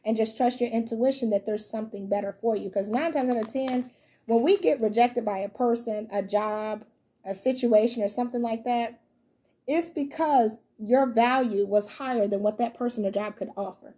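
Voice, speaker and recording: A young woman with a slightly high, breathy voice gives a casual tarot reading to the camera. She chats loosely with her viewers and reads guidebook passages aloud. She sits on her living room couch a few feet from the camera. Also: normal speed, warm tone. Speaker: tarot reader. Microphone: fixed camera a few feet away.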